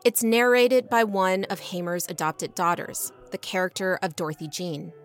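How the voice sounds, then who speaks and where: A woman's voice: fairly low pitch, fairly even intonation, normal speed, soft background music. Woman narrating a radio arts report. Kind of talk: scripted radio news narration.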